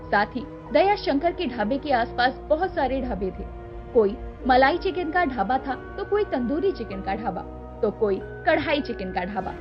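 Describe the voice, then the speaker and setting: High, lively woman storyteller. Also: moderate pace, muffled recording, over music. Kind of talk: scripted cartoon story narration.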